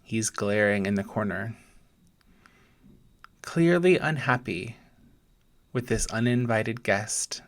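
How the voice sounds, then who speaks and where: A man, a pastor gently and deliberately guiding listeners through a scripture meditation in short, paused phrases. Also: fairly low pitch. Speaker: pastor leading a guided meditation. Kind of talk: slow guided meditation with scripture storytelling.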